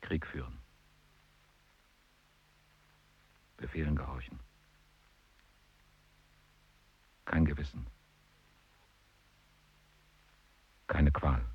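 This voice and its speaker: An actor playing a merciless inner voice of a soldier in a radio drama, husky and breathy, in terse chanted fragments. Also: male voice, very deep voice, steady tone, brisk, exaggerated voice. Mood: obedient, hard. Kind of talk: avant-garde radio drama, clipped fragments. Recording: dull, muffled sound.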